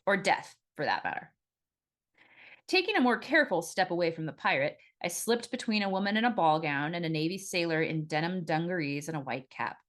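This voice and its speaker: A woman reading her own novel aloud as scripted first-person audiobook narration, in an expressive storytelling manner. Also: fairly low pitch, a little fast.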